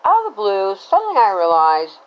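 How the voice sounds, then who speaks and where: A woman's voice: medium pitch, huge pitch swings, a little fast, clear, resonant tone, lo-fi sound. A middle-aged American woman telling a personal story casually, in a rambling, conversational way, often checking in with the listener. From Cincinnati, Ohio, United States.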